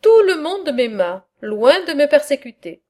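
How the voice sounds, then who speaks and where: A woman reading aloud the lines of a bold, mocking male satirist in a philosophical dialogue, in a measured, earnest way. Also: high voice, big pitch swings, warm tone.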